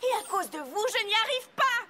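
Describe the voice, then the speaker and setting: An actress voicing an earnest, bookish young pony mare hosting a sleepover in a French cartoon dub, fretful at times. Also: female voice, extremely high pitch, expressive, fairly quick, very noisy. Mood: exasperated. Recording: loud music under dialogue.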